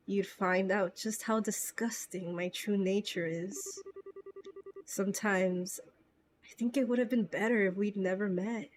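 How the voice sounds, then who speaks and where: A woman voicing a tender, self-loathing teenage boy in a game, soft, poetic and sorrowful. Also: medium pitch, slight background noise, close to an everyday voice. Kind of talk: amateur game character voice acting. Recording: game music behind.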